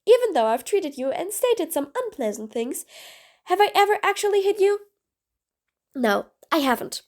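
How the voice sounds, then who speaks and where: A cool-headed female supervillain, as a woman plays her, blunt and sardonic, giving orders in clipped lines. Her voice sits high and swings widely in pitch. An audio roleplay with a commanding edge. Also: very animated, moderate pace, darkish tone. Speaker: voice actor. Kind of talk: audio roleplay, one side of a dialogue.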